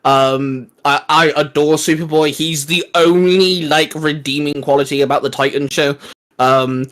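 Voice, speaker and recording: A man with a slightly high voice talks casually as a geek-show co-host. He tells things in an animated, slangy way, padding sentences with fillers as he explains his favorite subjects. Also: a little slow. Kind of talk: casual fan chat.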